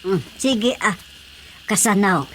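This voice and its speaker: An actress playing a wife with firm ideas in a radio drama comedy, deliberate, with an Ilocano accent. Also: female voice, mid-range voice, expressive, a bit bright, slight background noise. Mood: decided. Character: wife with firm ideas, talking plans over with husband.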